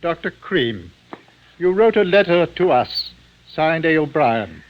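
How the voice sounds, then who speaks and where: An actor playing a polite but firm Scotland Yard detective in an old radio drama, formal and insistent. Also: male voice, on the high side, very animated, slow pace. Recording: dull old radio broadcast.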